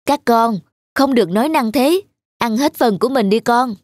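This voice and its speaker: A woman's voice: fairly high pitch, lively intonation, moderate pace, a little mellow, no echo, studio quality. A woman playing a kind, dutiful young mother in a cartoon, with a polite, soothing way of talking. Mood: gently chiding. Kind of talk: animated cartoon dialogue.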